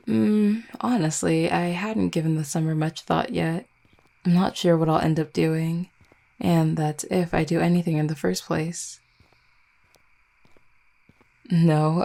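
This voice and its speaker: A woman playing an affectionate, gently teasing vampire girlfriend in an intimate roleplay audio, speaking tenderly and without hurry. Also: fairly low pitch, gravelly. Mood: thoughtful. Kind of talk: intimate roleplay audio, soft conversational acting.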